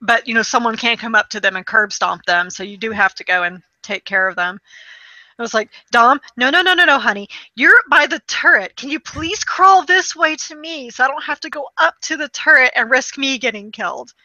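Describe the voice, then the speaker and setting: A woman talks casually about video games in a fairly high, bright voice. She tells stories animatedly, acting out quotes and swearing freely. The recording is close and dry, a little lo-fi. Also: a little fast, no room sound. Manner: animated storytelling with acted-out quotes. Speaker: podcast host.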